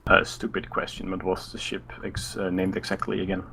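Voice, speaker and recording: A man's voice: low-pitched, monotone, a little fast, gravelly, pushed and bright. A man talking casually as a player in a group tabletop game. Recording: noticeable background noise.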